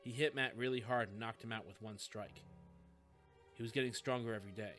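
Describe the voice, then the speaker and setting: A man's voice: medium pitch, fairly even intonation, fairly quick, fairly bright tone, soft background music. A man reading scripted fiction as an audiobook narrator.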